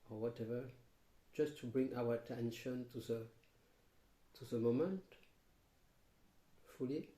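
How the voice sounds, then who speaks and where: Calm guy doing guided meditation, mellow voice, super slow w long gaps, roomy echo. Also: medium pitch. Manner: calm, unhurried, long pauses between short phrases. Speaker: meditation teacher. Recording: roomy, echoing sound.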